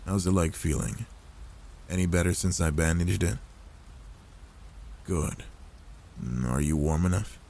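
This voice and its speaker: A man voicing an older werewolf, a gruff but gentle protective loner, in a soft, intimate ASMR roleplay with a soothing, fatherly manner. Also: deep voice. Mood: tender, soothing. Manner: soothing, paternal, unhurried reassurance.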